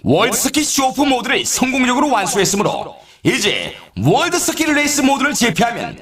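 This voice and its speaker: Video game snowboard event announcer: excitable showman, theatrical, very animated male delivery with roomy echo. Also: extremely high pitch, a little fast, heavily acted. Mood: excited, celebratory. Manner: theatrical, showy, exclaiming. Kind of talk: hyped sports game announcing, scripted.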